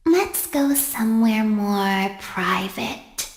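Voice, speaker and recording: A woman voicing a menacing female animatronic chicken in a horror game, cutesy and sugary, sinking into a raspy whisper. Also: mid-range voice, animated. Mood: seductive, menacing. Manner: slow, theatrical, menacing. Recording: echoey game clip, faint music.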